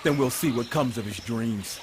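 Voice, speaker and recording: A man's voice: mid-range voice, moderate pace, noisy background, lightly acted. A man playing a resentful shepherd brother in an audio Bible drama, indignant and mocking. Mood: scornful.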